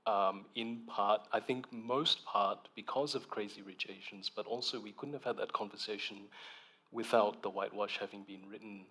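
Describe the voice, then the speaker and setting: A man speaks reflectively as an author on a festival panel. His voice sits at a middle pitch, and he pauses often with ums and restarts as he works through his thoughts. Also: a bit bright.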